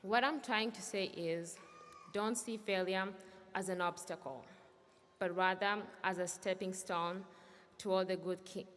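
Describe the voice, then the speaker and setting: A young woman whose first language is Swahili, giving an earnest, measured graduation speech. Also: medium pitch, steady tone. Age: young adult. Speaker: student speaker. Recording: echoey hall from far off.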